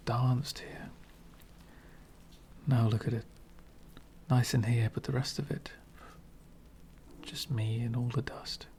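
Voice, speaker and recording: A man playing an elegant, centuries-old vampire host in an ASMR roleplay, lonely, wry and courtly, soft-spoken with frequent whispers and gentle condescension. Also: medium pitch, a little hiss or hum. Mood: wistful. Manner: soft-spoken, often dropping into breathy whispers.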